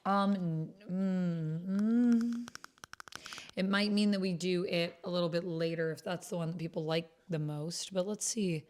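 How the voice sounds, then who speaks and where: Female stream host with a lowish voice, chatty, informal delivery aimed straight at viewers. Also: fairly even intonation, unhurried, a little mellow. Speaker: livestream host. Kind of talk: casual chat with livestream viewers.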